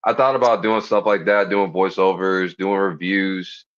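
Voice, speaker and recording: A man with a deep voice, talking as a guest in a casual interview, enthusiastic, slangy and profane. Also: fairly even intonation, moderate pace. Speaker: guest, body piercer. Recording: dull lo-fi stream audio.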